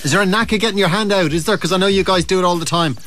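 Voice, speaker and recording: An Irish man on a prank call playing a flustered, accident-prone man stuck up a chimney, halting and groaning. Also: sits high, a bit bright, slight background noise. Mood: worried, flustered. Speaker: radio host making a prank call.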